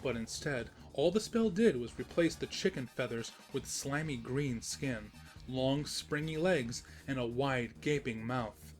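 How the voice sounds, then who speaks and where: A man's voice: medium pitch, expressive, unhurried, faint music behind. A man narrating a scripted comic story in a storytelling manner with wry asides.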